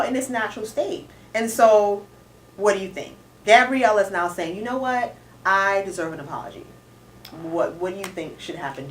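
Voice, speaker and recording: A woman co-hosting a casual talk show, recapping a news story and arguing with her co-host. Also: medium pitch. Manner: lively, argumentative, corrects her co-host. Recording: echoey room, distant mic, faint noise.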